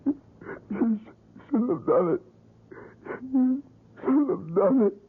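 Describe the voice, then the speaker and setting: A man's voice: extremely high pitch, animated, takes it slowly, gravelly, slightly rough, heavily acted. An actor playing a deranged, tormented young man, shrieking and sobbing with rage in an old-time radio drama. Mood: anguished, enraged. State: crying. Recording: noisy, muffled old radio broadcast.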